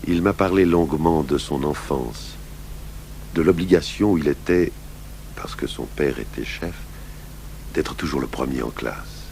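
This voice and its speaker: A very deep, gravelly middle-aged detective speaks with calm, fatherly patience. His measured, courteous delivery comes from an old radio play on noisy, humming tape. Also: heavily acted. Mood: reflective. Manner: measured, courteous questioning and recounting. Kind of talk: 1980s French-language radio drama acting.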